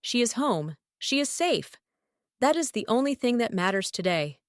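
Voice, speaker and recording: An actress playing a middle-aged, wealthy society mother in a noir radio drama, precise, unhurried and stoic. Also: a little high, sing-song, dry recording, studio quality. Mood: composed, resolute.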